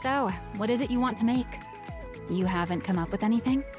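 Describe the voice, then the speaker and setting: Woman playing a high-voiced, teasing scientist mentor. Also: a little fast, over loud music, close to an everyday voice. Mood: questioning. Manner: wry, teasing, composed. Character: teasing, supportive adult female scientist, mentor to child. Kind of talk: voiced game event dialogue.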